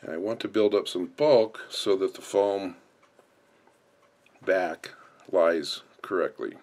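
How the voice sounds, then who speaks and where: Guy w a low voice doing a how-to, explaining stuff step by step off the cuff. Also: takes it slowly, darkish tone. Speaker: instructor. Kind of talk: hands-on tutorial narration.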